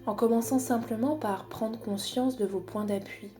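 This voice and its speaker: A woman guiding a body scan meditation in a calm, unhurried, soothing manner with long pauses. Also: fairly high pitch, faint music behind. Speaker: psychologist guiding a relaxation exercise.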